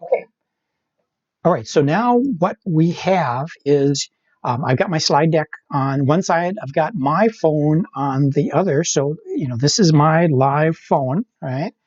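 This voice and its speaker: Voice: medium pitch, expressive, takes it slowly, darkish tone, no room sound. Methodical instructor, slightly dull dry audio. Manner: step-by-step, often checking with "right?" and "all right". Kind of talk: live online tech training, explaining steps.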